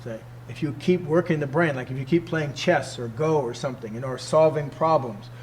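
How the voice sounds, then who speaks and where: Older guy in his 60s teaching students, kinda high voice, keeps asking questions, faint hum behind. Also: fairly quick. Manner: emphatic, rhetorical questions, laughs often. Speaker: martial arts teacher. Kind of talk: informal teaching talk to students.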